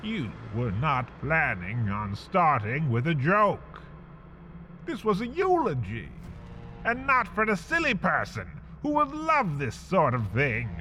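A man hosting and narrating a scripted comic horror piece, theatrical and drawn out, swinging from whispers to shouts. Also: sits high, slight background noise. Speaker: host and narrator.

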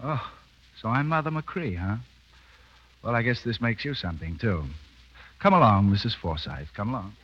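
An actor playing a hot-headed, defiant cavalry officer in a 1930s radio drama, blunt and sarcastic. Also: male voice, fairly low pitch, lively intonation, normal speed, long pauses, a little hiss or hum, lightly acted. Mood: defiant, wry. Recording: dull vintage 1930s radio broadcast.

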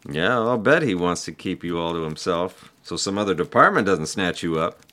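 An actor playing a friendly ad agency art director with an easygoing, casual, flattering way of talking, in an audio drama. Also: male voice, sits low, normal speed.

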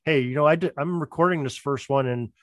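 A man's voice: a little high, fast, dry recording. Man chatting, close dry sound. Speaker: podcast producer and guest. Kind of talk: casual interview talk.

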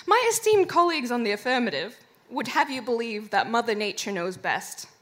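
A woman's voice: a little high, animated, fairly quick, fairly bright tone. A woman with a Finnish accent giving a mock-formal comic debate speech into a stage microphone, breaking into laughter. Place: live bar stage with audience.

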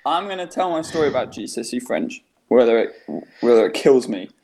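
Mellow-voiced English teenage podcaster. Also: male voice, mid-range voice, expressive, a little fast. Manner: laughs a lot, swears casually, rambling. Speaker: podcast host. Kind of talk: casual comedy podcast chat. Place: home during quarantine.

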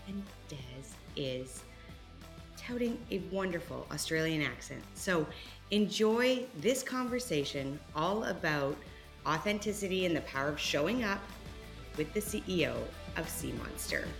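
A woman's voice: fairly low pitch, expressive, measured pace, vocal fry. Upbeat woman podcast host. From Canada. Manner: upbeat and enthusiastic. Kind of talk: podcast hosting and interview chat. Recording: light music behind.

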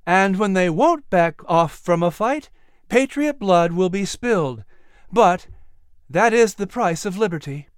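A man's voice: high-pitched, lively intonation, unhurried, clear, resonant tone, no echo, clean studio sound, pitched above the performer's own voice. A man doing an earnest young woman soldier. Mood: resolute. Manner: earnest, solemn.

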